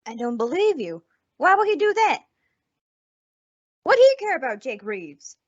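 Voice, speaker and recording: A woman's voice: high voice, very animated, moderate pace. A woman playing a bitter, vengeful rancher's wife in an old-time radio western, blunt and accusatory in folksy frontier speech. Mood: shocked, accusing. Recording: dull lo-fi radio drama recording.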